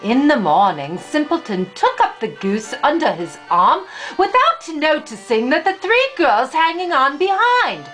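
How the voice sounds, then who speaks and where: An older woman with a high voice reads a children's fairy tale aloud over music. Her delivery is deliberate and unhurried, rising and falling expressively as she tells the story. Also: big pitch swings, fairly bright tone, low-quality recording. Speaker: grandmother reading a storybook aloud.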